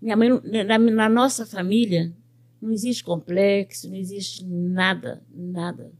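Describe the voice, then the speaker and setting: An older woman from Brazil, a pet groomer and business owner, telling her life story in an interview with lively quoted speech. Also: medium pitch, a little slow. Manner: animated storyteller who quotes other people's words.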